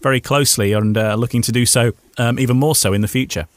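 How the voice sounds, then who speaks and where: A man's voice: mid-range voice, fairly even intonation, fast talker. A man hosting a conversational radio interview, chatting with his guest. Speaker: radio host.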